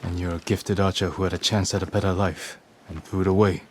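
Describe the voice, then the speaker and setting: An actor playing a grim, resolute samurai warrior in a restrained video game drama. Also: male voice, low-pitched, steady tone, a little fast, slight background noise, close to an everyday voice.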